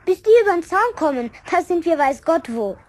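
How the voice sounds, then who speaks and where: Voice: animated, fairly quick. A performer playing a cocky, vengeful Bavarian schoolboy in a children's radio play, with a childlike voice and a brash, goading manner. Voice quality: high childlike voice.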